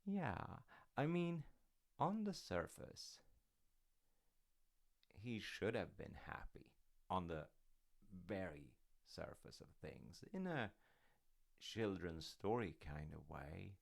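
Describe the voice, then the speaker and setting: A man playing a reflective, encouraging father in an intimate audio roleplay, musing and rambling in a deep, gravelly voice. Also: very animated, exaggerated voice. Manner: unhurried, musing, gently reassuring.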